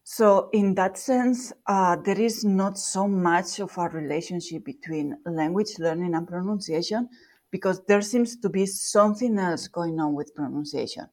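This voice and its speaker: A woman's voice: on the low side, a little slow, darkish tone. Spanish-accented woman explaining research. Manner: thoughtful, with fillers and self-corrections. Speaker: guest researcher. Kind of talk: explanatory interview talk.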